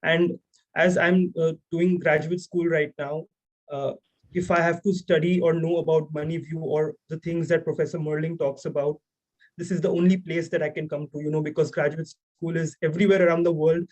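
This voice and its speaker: A man's voice: a little high, level tone, normal speed, a little mellow, rough recording. A young man from India, a graduate student, introducing himself on a video call in an earnest way with frequent fillers. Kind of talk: self-introduction in online seminar.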